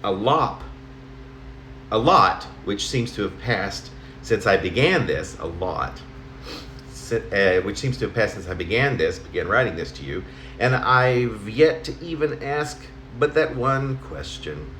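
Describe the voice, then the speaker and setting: A mature man with a fairly low voice reads his own poem in a very echoey room. His pitch moves around a lot as he goes, halting and conversational, like a personal letter read aloud. A faint noise sits behind him. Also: expressive, fairly bright tone. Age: middle-aged or older. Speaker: poet reading own work. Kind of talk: spoken poem reading in the form of a personal letter. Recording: roomy home recording, faint noise.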